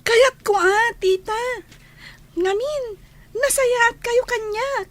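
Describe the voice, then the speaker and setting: Tiny, very high-voiced shy little girl. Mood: sweet, affectionate. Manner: hesitant, slow. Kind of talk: Ilocano radio drama acting.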